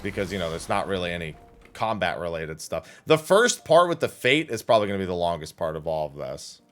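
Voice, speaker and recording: A man giving casual, rambling livestream commentary as he plays a game, thinking aloud. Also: fairly low pitch. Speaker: livestream gamer and host.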